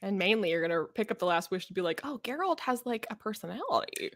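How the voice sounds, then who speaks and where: A woman's voice: medium pitch, big pitch swings, fast talker, dry recording, studio quality. A woman co-hosting a podcast, discussing books casually and giving her opinions with emphasis. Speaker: podcast co-host.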